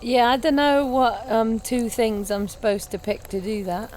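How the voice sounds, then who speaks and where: A woman's voice: a little high, fairly even intonation, normal speed, darkish tone. A woman playing a tabletop game, chatting casually with playful banter.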